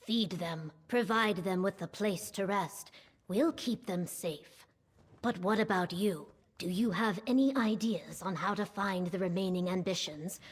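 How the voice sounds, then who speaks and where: An actress playing a composed, protective governor, husky and measured, in a video game. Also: female voice, lowish voice.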